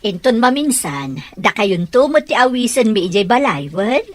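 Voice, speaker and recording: An actress playing a caring middle-aged aunt in a radio drama, speaking in a kindly and reassuring way. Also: female voice, a little high, sing-song, moderate pace, no room sound.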